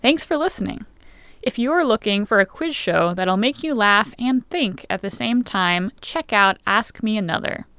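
A woman's voice: mid-range voice, animated, moderate pace, fairly bright tone, clear, resonant tone, no room sound. A young woman reading a scripted radio promo.

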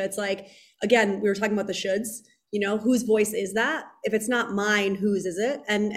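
A woman with a froggy, nasal voice who co-hosts the show, responding to callers and reading her own writing aloud. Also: mid-range voice. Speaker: podcast co-host leading a group call. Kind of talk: casual group discussion and reading aloud.

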